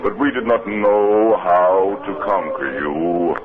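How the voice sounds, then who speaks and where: An actor playing a cold, domineering alien from Venus in a 1950s radio drama, commanding and deliberate. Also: male voice, sits low. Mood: coldly superior. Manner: commanding, slow, deliberate, hypnotic. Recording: muffled, noisy 1950s radio recording.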